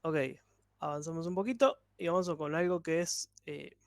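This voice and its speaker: Fairly high-voiced man explaining. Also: normal speed, creaky, no room sound. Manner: patient step-by-step explaining. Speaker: programming instructor. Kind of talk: online workshop teaching.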